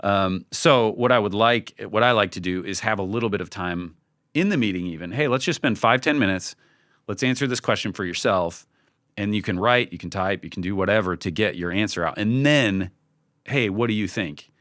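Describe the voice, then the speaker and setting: A man giving casual, conversational advice as a podcast guest, informal and halting, often acting out bits of imagined dialogue. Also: lowish voice, fairly bright tone, dry recording, studio quality. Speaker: podcast guest, creative team leader.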